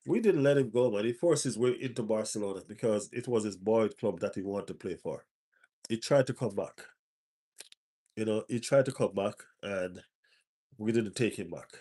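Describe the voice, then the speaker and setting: A man's voice: on the low side, normal speed, dark tone, husky. A man with a stuffy nose hosting a casual live stream as a football fan, repeating his phrases for emphasis.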